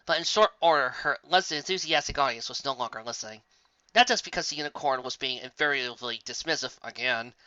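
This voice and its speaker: A narrator reads a story in a measured, deliberate way. The voice is bright and forceful, on a dull recording with no room sound. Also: mid-range voice, no echo. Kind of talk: scripted fan fiction reading. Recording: dull lo-fi close dry recording.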